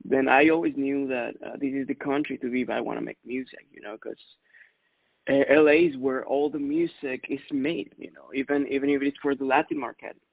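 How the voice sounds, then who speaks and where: A man from Ecuador, in his thirties, talks through his story in a loose, easygoing way, full of fillers and quick laughs. His voice has a gravelly edge. The sound is dry and narrow, like a phone line. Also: medium pitch, dry recording. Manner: relaxed, chatty, laughs often, many fillers. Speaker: guest, music producer. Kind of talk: casual interview answers.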